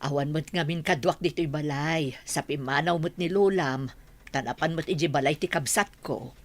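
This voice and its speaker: A woman's voice: sits low, normal speed. A woman playing a patient, concerned mother in a comedy radio drama, coaxing and motherly. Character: patient, concerned mother advising her young child.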